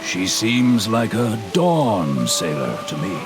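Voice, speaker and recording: An actor playing a grave, dignified sage of the seas in a video game cutscene. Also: male voice, on the low side, wildly swooping pitch, slow, heavily acted. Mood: measured. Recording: music behind the voice.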